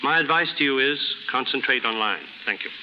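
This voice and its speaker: A man's voice: mid-range voice, brisk. A man giving a formal, scripted guest talk on 1930s radio. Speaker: guest stylist. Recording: noisy 1930s radio recording.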